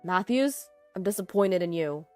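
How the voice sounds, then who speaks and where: An indignant older company chief with a commanding, forceful manner, acted out by a female narrator. He is a stern figure in a dramatized story, with music underneath. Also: on the low side, animated, normal speed, natural voice. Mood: stern, angry. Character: stern, angry older male CEO, groom's father. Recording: music behind the voice.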